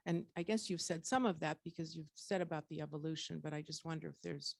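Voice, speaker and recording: A woman's voice: low-pitched, fairly even intonation, fast talker, vocal fry, dry recording. A woman in her eighties asking a spontaneous audience question in a tentative, rambling way. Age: 80s.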